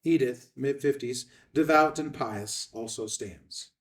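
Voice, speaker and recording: A man's voice: medium pitch, slow pace, far from the mic. A man reading out stage directions as scripted narration at a film table read.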